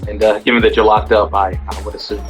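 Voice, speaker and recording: A man's voice: fairly low pitch, flat delivery, fast, faint music behind. A man playing a tabletop role-playing game, speaking in character and calling out his actions casually.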